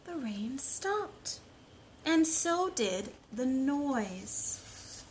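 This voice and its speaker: A woman in her thirties reading and talking to young children in simple, expressive storytime phrasing, recorded on a phone at home. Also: sits high, extremely slow. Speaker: children's storytime reader and teacher. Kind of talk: storytime read-aloud and talk to young children. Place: living room at home, indoors. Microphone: phone at about arm's length. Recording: noisy homemade phone recording.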